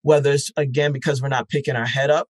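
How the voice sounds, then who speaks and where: A man speaks as a podcast guest at a mid pitch, his voice dry and close with no room sound. He thinks aloud, circling back with fillers and restarts while making his points. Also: level tone, fast talker, no echo. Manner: reflective, many fillers and restarts. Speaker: podcast festival founder, guest. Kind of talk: conversational interview answers.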